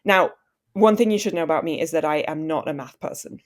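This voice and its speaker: A Swiss-raised woman in her thirties tells her story in a conversational podcast interview. Her voice sits a little low, and she sounds articulate, self-deprecating and easy, with frequent "um"s. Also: brisk. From Switzerland. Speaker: author and journalist guest.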